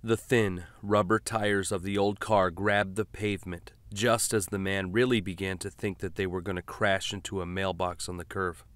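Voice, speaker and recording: A man's voice: low-pitched, level tone, moderate pace, hardly any pauses, fairly bright tone, no echo. A man reading scripted fiction as an audiobook narrator.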